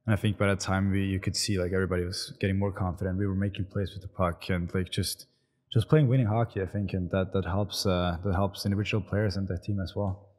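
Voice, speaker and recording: Young Austrian man with a low, halting voice. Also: level tone, a little mellow. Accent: Austrian German. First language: German. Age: 20s. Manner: halting, many fillers and restarts. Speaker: hockey player guest. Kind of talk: casual interview answers. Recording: long reverb, echoey room.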